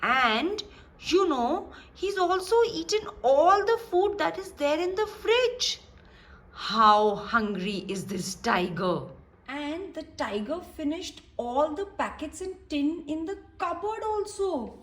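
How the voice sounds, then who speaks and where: A woman with a high, swooping voice reads a picture book to small children at an unhurried pace. She explains things in simple words and checks in with questions. She sounds a little way from the microphone in an echoey room. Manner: animated, explaining simply, asking the listener questions. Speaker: children's story reader. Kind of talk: storybook read-aloud with explanatory asides to young children. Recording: roomy echo, distant from microphone.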